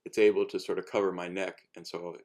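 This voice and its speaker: A man casually explaining a homemade project in a halting, unscripted how-to style, rephrasing as he goes. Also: sits low, level tone, a little mellow. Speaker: hobbyist cosplay maker giving a demonstration.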